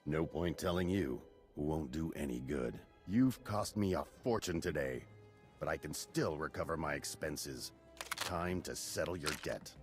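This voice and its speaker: An actor voicing a ruthless, greedy mercenary commander in a video game, speaking with menacing scorn. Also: male voice, rumbling low, a little slow. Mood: cold threat. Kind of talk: video game villain acting. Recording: loud music behind the voice. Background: loud game music.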